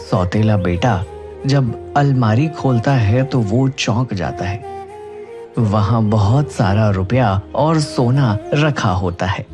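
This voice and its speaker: A man's voice: lowish voice, slow pace, over music. A man narrating a scripted children's moral story.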